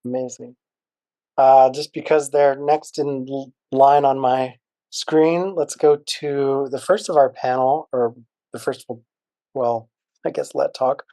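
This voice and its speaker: Man hosting a panel, slow, mellow, stumbling handovers. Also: medium pitch, fairly even intonation, dry recording. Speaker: panel host. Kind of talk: moderating a video panel.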